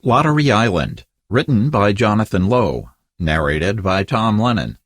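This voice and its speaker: A man's voice: fairly low pitch, animated, unhurried, no room sound, clean studio sound. A man narrating an audiobook, reading scripted first-person fiction with wry humour.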